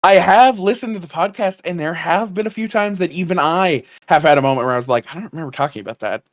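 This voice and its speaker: A quiet man rambles through casual podcast chat, his voice soft with a little gravel and rasp in it. He sits at a higher pitch than most men, close and dry on a home studio mic. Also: fast, no echo. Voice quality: soft, slightly raspy and gravelly. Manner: quiet, rambling, many fillers. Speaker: podcast co-host. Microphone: home studio microphone.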